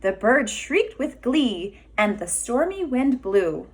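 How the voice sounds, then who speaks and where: A woman kindergarten teacher reading a children's storybook aloud expressively, deliberate and emphatic, pausing for questions. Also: fairly high pitch, very animated.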